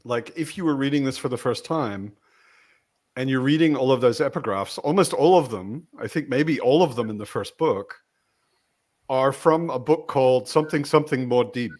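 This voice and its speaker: A man's voice: mid-range voice, normal speed, a little mellow. A man chatting casually as a panelist in a book discussion, often voicing imagined reactions.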